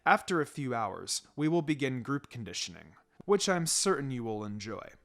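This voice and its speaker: A man voicing a stern ninja teacher who gives instructions in a measured, pleasant, faintly menacing way. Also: medium pitch, big pitch swings, a bit bright, pitched below the performer's own voice. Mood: calm, instructing. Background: background music.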